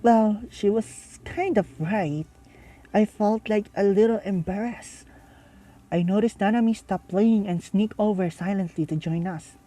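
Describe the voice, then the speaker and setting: A woman reading a visual novel aloud with casual asides, halting and stumbling over words as she goes. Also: mid-range voice, mellow. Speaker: reader and commentator.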